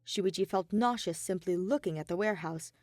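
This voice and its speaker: A woman's voice: lowish voice, fairly quick, a little mellow, dry recording, clean studio sound. A woman reading scripted fiction aloud as the narrator of an audio story.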